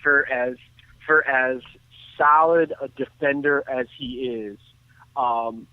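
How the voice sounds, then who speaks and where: A man giving earnest, detailed off-the-cuff baseball commentary as a radio guest, often halting and restarting his sentences. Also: medium pitch, fairly even intonation, no room sound. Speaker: baseball analyst and guest on a sports radio show. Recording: thin phone-like line.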